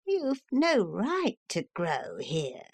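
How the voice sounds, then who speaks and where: A woman's voice: medium pitch, huge pitch swings, husky, voice pushed up, heavily acted. A woman voicing a small, sleepy male dormouse with a sulky, complaining manner in a storybook reading. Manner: slow, complaining.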